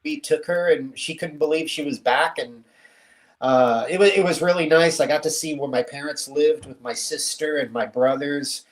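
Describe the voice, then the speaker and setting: Cuban-born guy, middle-aged, chill storyteller, voice a bit on the high side. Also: moderate pace, low-quality recording. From Cuba. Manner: relaxed storyteller, laughs easily. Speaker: guest. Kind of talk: casual interview chat.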